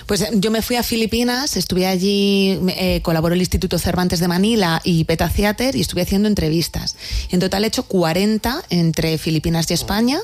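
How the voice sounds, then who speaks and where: A woman's voice: fairly low pitch, darkish tone. A woman with an accent from Spain, explaining her work conversationally as an interview guest. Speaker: theatre maker, interview guest.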